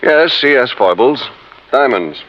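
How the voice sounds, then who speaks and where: An actor playing a confident, loyal young business lieutenant with a matter-of-fact manner in an old radio drama. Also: male voice, medium pitch, expressive, a little slow. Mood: cool, assured. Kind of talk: 1950s radio drama acting. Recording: dull, band-limited old radio recording.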